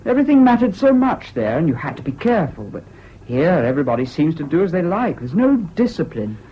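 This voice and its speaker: An actor playing a whimsical, impulsive young English student in a radio drama, talking in a chatty, flippant way. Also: male voice, fairly high pitch, big pitch swings, brisk. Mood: eager, whimsical. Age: young adult. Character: whimsical, impulsive young male Cambridge student, evasive charmer. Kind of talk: 1970s British radio drama acting. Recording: dull, hissy old radio recording.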